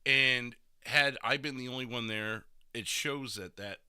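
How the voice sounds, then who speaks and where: A man hosting a casual stream podcast, commenting on replays in an animated, sweary way and throwing in imitated sound effects. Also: medium pitch, measured pace, clear, resonant tone, no echo, clean studio sound.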